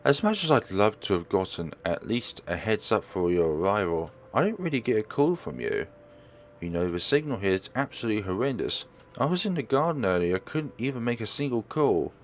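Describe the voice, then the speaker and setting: A man's voice: on the low side, sing-song, brisk, a little hiss or hum. A man voicing a young female real estate agent in a visual novel, earnest, insistent and protective. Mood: apologetic.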